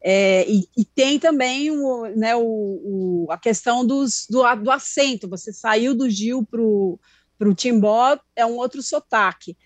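A woman's voice: a little high, takes it slowly, no echo. A woman co-hosting an interview, asking long, rambling questions with chatty hesitations. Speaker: co-host interviewer.

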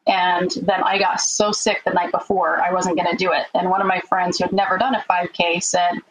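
A woman's voice: on the low side, breathy edge, rough recording. A woman, a podcast guest, chatting casually as she tells how she met her husband. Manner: chatty, relaxed, puts on quick voices when quoting people. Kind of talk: casual storytelling.

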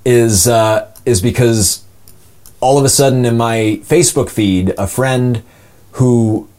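A man's voice: mid-range voice, slow pace, a little mellow. A man in his twenties with a polished, announcer-like voice, telling stories casually and self-deprecatingly as an interview guest. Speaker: voice actor guest.

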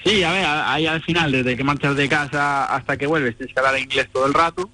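A man's voice: medium pitch, fairly quick, sharp tone, a little hiss or hum. A young man from Spain, a rally driver, talking casually over the phone with fillers, repeats and easy laughs. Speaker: rally driver, interview guest. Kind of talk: phone interview. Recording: dry phone line.

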